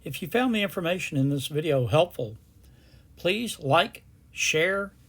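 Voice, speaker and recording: A man hosts a do-it-yourself tutorial in a somewhat high-pitched voice. He narrates in a plain, step-by-step way, explaining the job as he goes. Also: expressive, a little slow, a bit bright. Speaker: DIY tutorial host.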